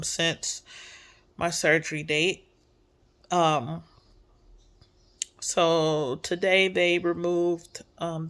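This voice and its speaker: Slow, congested woman vlogging. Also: sits low. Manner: soft-spoken, hesitant with many ums. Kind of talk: casual personal update.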